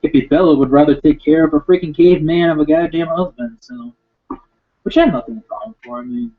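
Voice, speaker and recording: Guy with a fry-heavy voice rambling sarcastic about wrestlers, phone-y tinny sound. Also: on the high side, brisk. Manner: sarcastic, rambling, lively impressions. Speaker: wrestling commentator. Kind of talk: casual rant.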